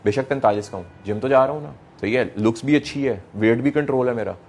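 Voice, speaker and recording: A man's voice: medium pitch, fast, a little mellow. A middle-aged man with a Pakistani accent lecturing young people, emphatic, repeating phrases, asking rhetorical questions and mixing in English phrases. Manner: mixes English phrases into speech. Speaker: motivational speaker addressing young people. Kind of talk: motivational lecture to an audience.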